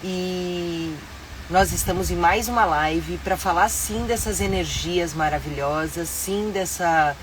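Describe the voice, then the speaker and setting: A woman's voice: fairly low pitch, slow, slight background noise, low-quality recording. A middle-aged Brazilian woman with a stuffy, nasal voice from a cold, hosting a casual live stream from inside her motorhome. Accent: Brazilian Portuguese. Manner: chatty and upbeat, with frequent fillers. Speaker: live-stream host and tour guide.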